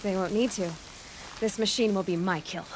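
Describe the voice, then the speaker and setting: Woman acting determined young game huntress, mid-pitched. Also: fairly even intonation, moderate pace, noisy background, lightly acted. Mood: curious, resolute. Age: young adult. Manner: earnest, resolute, direct. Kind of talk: naturalistic video game acting. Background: faint background music.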